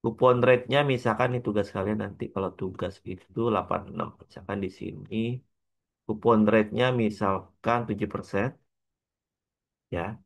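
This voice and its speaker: A man with an Indonesian accent lecturing as a teacher, working through an example step by step in a halting, repetitive way with frequent checking tags. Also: fairly low pitch, steady tone, creaky. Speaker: accounting lecturer.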